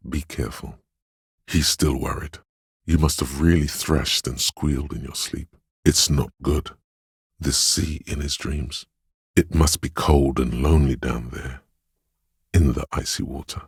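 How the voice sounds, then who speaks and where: A man voicing a solemn, tender inner voice that reads others' feelings in a video game, measured and brooding in delivery. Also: no echo, studio quality, full character voice. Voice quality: deep, husky, gravelly. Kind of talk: scripted video game narration.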